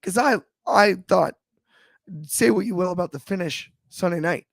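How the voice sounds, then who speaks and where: A man with a slightly raspy voice, chatting about wrestling on a panel show in a thoughtful, halting way with many restarts. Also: high voice, no echo. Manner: thoughtful, frequent restarts and fillers. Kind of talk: casual discussion.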